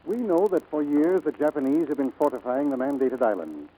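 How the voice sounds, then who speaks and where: A man playing a commanding, formal US Navy admiral in a 1940s radio drama, speaking gravely and deliberately. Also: a little high, animated. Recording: muffled vintage 1940s radio recording.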